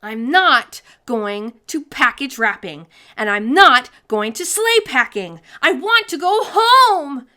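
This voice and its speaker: A woman's voice: high-pitched, big pitch swings, slow, few pauses, bright, forceful tone, voice pushed up, heavily acted. A woman voicing a stubborn, whiny young girl in a children's storybook, dramatic in manner. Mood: outraged. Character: stubborn, whiny young girl Sophie, bratty but soft-hearted.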